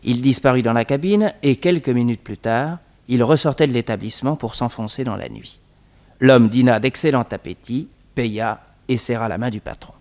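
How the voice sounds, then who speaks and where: A man's voice: medium pitch, moderate pace, darkish tone. Male narrator of a vintage radio serial.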